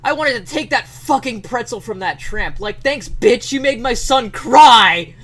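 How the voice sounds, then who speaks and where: A man's voice: expressive, measured pace, fairly bright tone, full character voice. A man voicing an enraged, entitled, foul-mouthed mother in a whiny, overwrought dramatic reading. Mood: furious. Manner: shrill, dramatically overwrought ranting.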